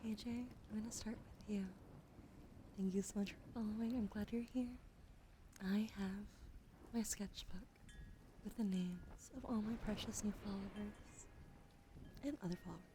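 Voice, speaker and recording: A woman's voice: mid-range voice, steady tone, moderate pace, long pauses. A young woman whispering as an ASMR streamer, apologetic, troubled by coughing from allergies, thanking followers by name. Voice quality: soft whispering. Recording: noisy background.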